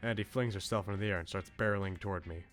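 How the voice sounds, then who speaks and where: A man livestreaming a visual novel, commenting and reading aloud in an expressive way. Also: sits low, racing, faint music behind. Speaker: game streamer.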